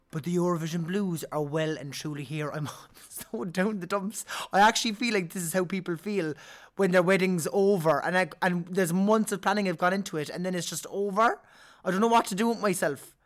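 Hoarse, chatty Cork man. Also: high voice. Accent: Irish, Cork. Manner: chatty, animated, quick to laugh. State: hoarse from singing. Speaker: podcast host. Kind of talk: casual chat.

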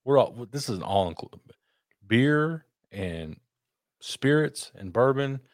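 A man with a deep baritone voice co-hosting a podcast, chatting casually in loose, joking, profane banter. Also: animated, a little slow, no room sound, clean studio sound. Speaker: podcast co-host. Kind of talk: casual chat over beers.

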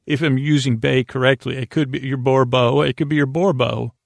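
A man with a creaky voice hosts a sleep podcast, rambling soothingly and a little goofily, stammering and wandering off into tangents. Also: mid-range voice, steady tone, dry recording. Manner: meandering, halting, stammering, trailing off into tangents; soothing, lulling, goofy. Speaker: podcast host. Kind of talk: rambling bedtime monologue to help listeners sleep.

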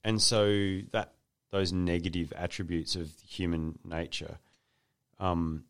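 A man's voice: sits low, steady tone, takes it slowly, fairly bright tone. A man hosting a podcast, chatting loosely and jokingly with a friend as his guest. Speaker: podcast host. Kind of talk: casual interview.

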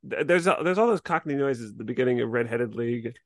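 A man's voice: medium pitch, expressive, fast talker, dry recording, clean studio sound. A man co-hosting a podcast, talking through a review in a casual, conversational way. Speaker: podcast co-host.

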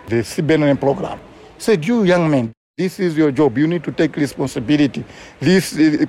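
A man's voice: on the high side, mellow, a little hiss or hum. A man with a Zambian accent telling his own story in an earnest, plain-spoken way, repeating phrases. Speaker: community conservation leader. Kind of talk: personal storytelling in an interview.

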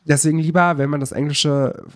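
A man's voice: fairly high pitch, steady tone, fairly quick, no echo, studio quality. A man co-hosting a podcast in casual chat, rambling with lots of fillers and self-corrections. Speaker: podcast co-host.

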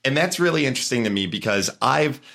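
A young man co-hosting a podcast, relaxed and chatty, telling stories in casual conversation. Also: mid-range voice, quick pace, gravelly. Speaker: podcast co-host. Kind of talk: casual chat.

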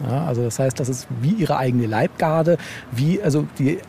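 A man's voice: mid-range voice, normal speed, gravelly, warm tone, slight background noise. A man speaking as a novelist in a casual podcast interview, explaining in a rambling way with frequent tag questions. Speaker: novel author being interviewed.

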